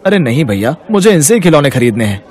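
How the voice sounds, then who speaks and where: A man's voice: a little high, sing-song, quick pace, darkish tone, some chatter behind. A man playing a kind young customer who firmly defends the poor, righteous in manner, in a moral-story cartoon. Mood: friendly.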